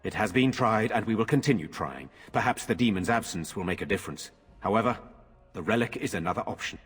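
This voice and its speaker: A man playing a solemn, dutiful nobleman in a fantasy video game, speaking in a formal, measured way. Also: fairly low pitch, lively intonation, a bit bright, slightly rough. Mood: grave. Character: solemn, dutiful nobleman brother ruling a troubled town. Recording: hall reverb, music behind.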